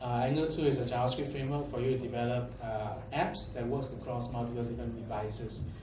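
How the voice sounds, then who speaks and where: A man's voice: mid-range voice, level tone, quick pace. Malaysian-born man speaking in an echoey hall. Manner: chatty, filler-heavy, asks the audience questions. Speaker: tech conference speaker. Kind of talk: casual conference talk. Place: conference hall. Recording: echoey hall, mic at a distance, faint background noise.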